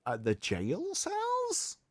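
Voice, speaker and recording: A man hosting a livestream, reading aloud in a mocking, theatrical way with sarcastic asides and drawn-out emphasis. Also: very high voice, huge pitch swings, no echo, studio quality. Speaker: livestream host. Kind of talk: mocking dramatic reading of an article with commentary.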